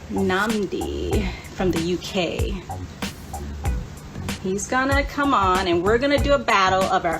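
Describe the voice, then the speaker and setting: A woman hosting a casual livestream chat in an enthusiastic, chatty way. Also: medium pitch. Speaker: host. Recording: clear background room noise.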